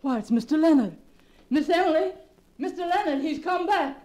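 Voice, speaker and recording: An actress playing an old, frightened housekeeper in a 1940s radio drama, calling out in a high, cracked voice. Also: female voice, animated, moderate pace, a little mellow. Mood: startled. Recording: old radio broadcast recording.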